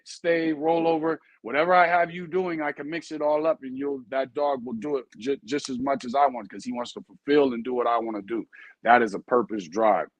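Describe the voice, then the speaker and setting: A man's voice: medium pitch, dry recording. Earnest man with a dry close sound. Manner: earnest, explains with everyday comparisons; conversational, checks in with listeners. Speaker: show host and dog breeder. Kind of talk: casual podcast talk.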